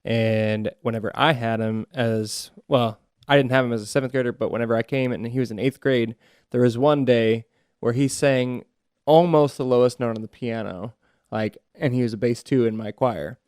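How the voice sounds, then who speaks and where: A man's voice: mid-range voice, normal speed, a little mellow, clean tone, no room sound, studio quality. A man co-hosting a casual podcast chat, a choir teacher with a jokey, laid-back, self-deprecating way of talking.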